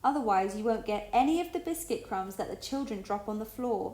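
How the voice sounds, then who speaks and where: Woman voicing a bossy doll, sharp-tongued, lecturing, high voice, storybook, echoey. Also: lively intonation, brisk, natural voice.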